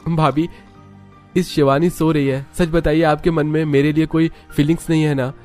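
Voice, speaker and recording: A man's voice: fairly high pitch, little pitch movement, moderate pace, darkish tone, faint music behind, pitched above the performer's own voice, natural voice. A man voicing an earnest young husband and father-to-be in a cartoon story. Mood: uneasy, earnest.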